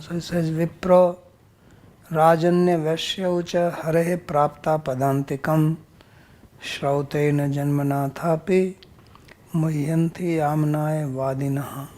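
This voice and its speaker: A man's voice: on the high side, darkish tone. A man teaching scripture as a religious teacher, bluntly correcting and explaining Sanskrit terms word by word. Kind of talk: verse-by-verse scripture teaching with questions and answers.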